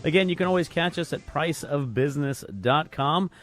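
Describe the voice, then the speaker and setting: A man's voice: fairly high pitch, a bit bright, faint music behind. A man hosting a business talk radio interview, chatty and conversational, often restarting his phrases. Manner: rapid, conversational, repeats words when restarting. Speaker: guest radio host.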